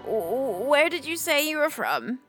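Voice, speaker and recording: A woman's voice: high voice, very animated, measured pace, a bit bright, dry recording, faint music behind, exaggerated voice. A woman playing a kindly, quirky old woman with a wry, wondering manner in a children's audio drama.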